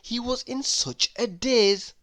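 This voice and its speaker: A woman narrating a children's storybook aloud in an expressive, dramatic and deliberate way. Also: a little high.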